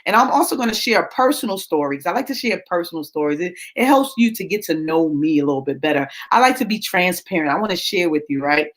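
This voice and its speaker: A woman's voice: lowish voice, lively intonation, fast, hardly any pauses. A woman in her forties hosting a live show, confiding a personal story in a chatty way, often asking "right?". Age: 40s. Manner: chatty, confiding, tag questions. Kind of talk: casual personal talk to viewers.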